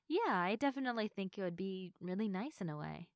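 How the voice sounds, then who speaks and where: A young woman playing a sleepy, affectionate girlfriend in an intimate sleep-aid roleplay, speaking softly and tenderly to the listener in a giggly, hesitant way. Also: medium pitch, no echo, studio quality, lightly acted. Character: sleepy, affectionate girlfriend, gentle, playful and sincere. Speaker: audio roleplay performer.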